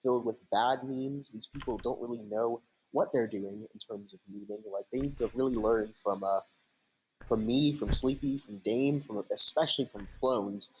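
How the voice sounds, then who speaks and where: A man's voice: mid-range voice, moderate pace, a little hiss or hum, low-quality recording. A man co-hosting remotely over a voice call, bantering in a laid-back, rambling way. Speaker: co-host. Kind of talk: casual chat.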